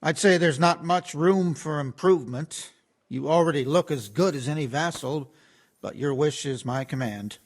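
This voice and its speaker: An actor playing a sarcastic, dismissive medieval quartermaster in a video game, with a dry manner. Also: male voice, fairly high pitch, moderate pace. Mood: sardonic. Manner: dry, sardonic. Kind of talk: video game dialogue acting.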